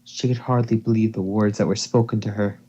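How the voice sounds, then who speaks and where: A man's voice: on the low side, fairly even intonation, a little fast, dark tone, slightly rough. Dull-sounding amateur male narrator. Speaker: narrator and co-host. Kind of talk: amateur fan fiction reading with casual asides. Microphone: computer or headset mic on a voice call.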